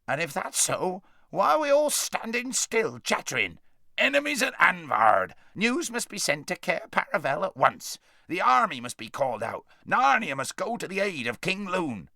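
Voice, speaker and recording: A man voicing a brisk, kindly red dwarf who talks in a bossy, bustling way with loud exclamations. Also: high voice, very animated, vocal fry, dry recording, studio quality, pitched above the performer's own voice, exaggerated voice. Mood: urgent.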